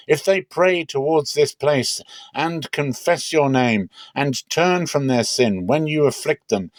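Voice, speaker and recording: A man's voice: a little high, no echo. Man giving a dry, dramatic scripture reading. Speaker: Bible reader.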